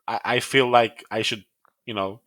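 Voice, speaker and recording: A man's voice: lowish voice, fairly even intonation, moderate pace, fairly bright tone, no room sound. A man in his forties co-hosting a podcast, joking and teasing the others in casual chat. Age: 40s. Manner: joking, teasing, self-deprecating. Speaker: podcast co-host.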